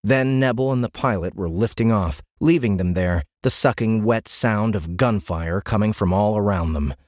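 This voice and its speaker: A man narrating a military science fiction audiobook in a measured, grave, dramatic way. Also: on the low side, darkish tone, dry recording, studio quality. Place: dry recording studio.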